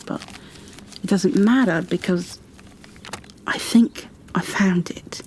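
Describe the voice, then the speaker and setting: A woman's voice: on the low side, a little mellow, a little hiss or hum. A woman voicing a driven, pleading huntress on a crusade, insistent and earnest, in an ASMR roleplay. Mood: insistent, excited.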